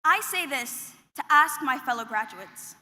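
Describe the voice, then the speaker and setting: A young woman from Qatar delivers a graduation address at a podium microphone. Her voice sits high and sounds clear and bright, and her delivery is earnest and measured. A long reverb trails each phrase through the big ceremony hall. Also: expressive. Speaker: student commencement speaker. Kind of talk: prepared graduation speech. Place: large commencement hall.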